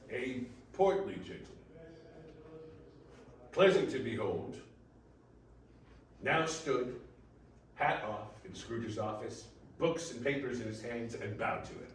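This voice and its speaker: A male narrator heard at a distance in a strongly reverberant room, with faint noise. His mid-pitched voice delivers a story in deliberate, theatrical phrases, leaning on each emphasis.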